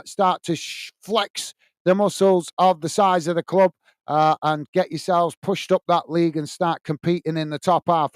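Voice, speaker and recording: A man with a Lancashire accent hosts a football live stream, talking straight and with passion. His voice sits fairly high and comes through smooth and clean. The sound is dry and close, with no room echo. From England. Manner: passionate, blunt, addresses viewers by name. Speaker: football channel host reading live chat. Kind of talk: casual live-stream chat. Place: small home studio.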